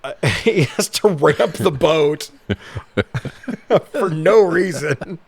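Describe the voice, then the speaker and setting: A man's voice: a little high, expressive, very slow, gravelly, husky, no echo. A man co-hosting a podcast, casually recapping a movie in a profane, sarcastic, exasperated way. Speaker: podcast co-host.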